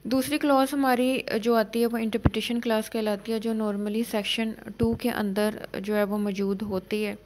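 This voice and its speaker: A woman giving an exam-prep law lecture, reading English clauses slowly and explaining them in Urdu. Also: fairly high pitch, steady tone, darkish tone, a little hiss or hum, rough recording.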